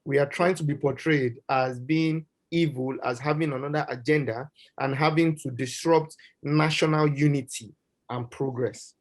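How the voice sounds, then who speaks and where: A man's voice: medium pitch, fairly even intonation, normal speed. A man from Nigeria speaking as a panel guest, earnest and building long run-on points. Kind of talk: discussion.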